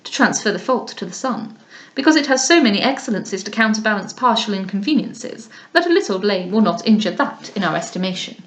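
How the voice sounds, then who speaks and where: A woman voicing a sharp-tongued, satirical lady who speaks in a dry, cutting manner. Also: mid-range voice, expressive, a little fast, close to an everyday voice. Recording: roomy echo.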